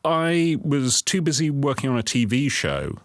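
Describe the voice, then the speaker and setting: A man's voice: medium pitch, sing-song, moderate pace, no room sound, studio quality. A man, a writer, casually recalling past work in a documentary interview.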